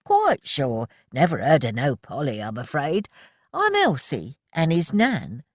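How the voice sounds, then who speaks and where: A woman voicing a brisk, fussing old grandmother over sixty. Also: low voice, wildly swooping pitch, no room sound, clean studio sound, exaggerated voice.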